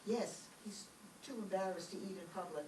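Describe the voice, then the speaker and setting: A woman plays a polite, dutiful schoolgirl in an old-time radio comedy. She sounds far from the mic in a roomy space. Noise is clearly heard behind her. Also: mid-range voice, normal speed, a little mellow, natural voice. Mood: polite, innocent. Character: polite, dutiful teenage schoolgirl, principal's daughter. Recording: distant echoey stage recording, clear background noise.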